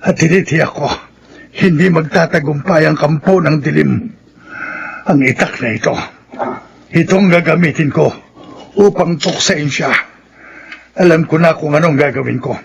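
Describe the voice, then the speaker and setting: A stubborn grandfather in a radio drama, acted by a man, his voice pitched high. He speaks in emphatic, melodramatic vows, desperate to protect his family, over clear noise. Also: normal speed, long pauses, highs cut off. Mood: resolute, grave. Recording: clearly noisy track.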